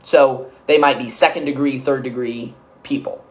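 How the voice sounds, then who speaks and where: A man giving an instructional business-coaching talk, conversational and energetic, checking in often with quick asides. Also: mid-range voice, low-quality recording. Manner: energetic, conversational, uses filler checks like "okay?" and "right?".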